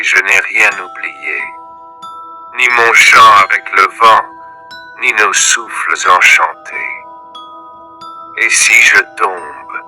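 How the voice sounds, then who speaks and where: A man reciting scripted poetry in a measured, declamatory manner. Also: on the low side, sharp tone. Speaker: poetry reader.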